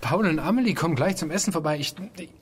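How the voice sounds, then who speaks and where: An actor playing an easygoing, caring husband in naturalistic radio drama dialogue. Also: male voice, a little high, expressive, fast, a little hiss or hum, close to an everyday voice. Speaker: supporting actor.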